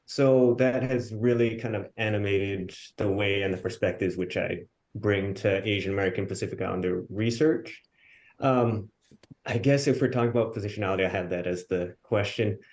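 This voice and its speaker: A man from Hawaii speaking casually as a researcher on a video-call panel, relaxed and self-deprecating. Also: fairly low pitch, normal speed.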